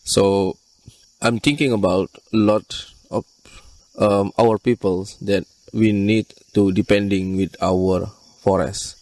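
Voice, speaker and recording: A man from Borneo with an Indonesian accent, giving earnest, halting interview answers in non-native English. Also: fairly low pitch, fairly even intonation, a little mellow, no echo, recorded from a distance, low-quality recording. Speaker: conservation group leader being interviewed.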